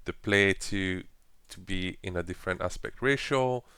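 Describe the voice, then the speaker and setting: A man presenting a casual software tutorial with phrasing and frequent fillers, explaining each step while clicking through an editor. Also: low-pitched, moderate pace, smooth, dry recording. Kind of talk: screen-recorded software walkthrough.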